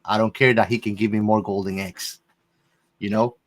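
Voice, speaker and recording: A man's voice: on the low side, steady tone, normal speed. Emphatic man in casual film discussion. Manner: emphatic, repeats phrases to drive points home. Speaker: movie discussion podcast co-host.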